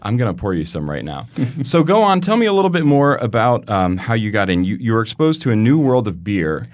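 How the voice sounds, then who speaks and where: A man's voice: lowish voice, very animated, brisk, no room sound, studio quality. A man acting as a podcast interviewer and host, addressing listeners and his guest. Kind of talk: podcast interview with prepared introduction.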